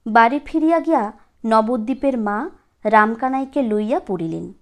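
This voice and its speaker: A woman reading a literary story as a narrator, with an expressive, measured delivery. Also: a little high. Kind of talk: scripted audiobook narration, literary.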